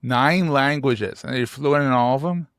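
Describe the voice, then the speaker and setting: This is a man from Philadelphia hosting a podcast, chatting casually with a guest. His voice has a gravelly edge, and the sound is dry and close. He talks in an animated way, cracks jokes, and repeats words for emphasis. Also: mid-range voice, a little slow, dry recording. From United States. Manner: animated, joking, emphatic repetitions. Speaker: podcast host. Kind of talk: casual interview chat.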